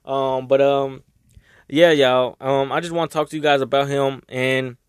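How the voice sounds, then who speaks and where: A young man talking casually and emotionally to his viewers, rambling and swearing, his voice raspy from crying. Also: medium pitch, flat delivery, normal speed, no echo, studio quality. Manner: rambling, swearing, heartfelt, Southern US slang. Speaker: vlogger. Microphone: own microphone.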